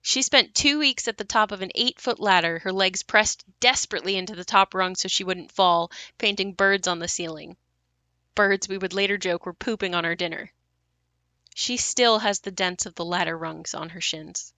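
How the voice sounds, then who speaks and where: A woman's voice: medium pitch, moderate pace, pushed and bright, dry recording. A woman acting a wistful, reflective grown daughter haunted by her ruined childhood home in an audio play.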